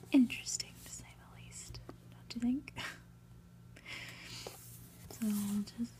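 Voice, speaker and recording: A woman hosting ASMR, whispering gently as she reads a book aloud with casual asides. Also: mid-range voice, a little hiss or hum. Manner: gentle, unhurried, soft-spoken. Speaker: ASMR host.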